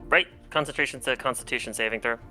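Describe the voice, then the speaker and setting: A tabletop roleplaying player talking casually through game turns, halting and self-correcting along the way. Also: medium pitch, bright, forceful tone. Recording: music behind the voice. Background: background music.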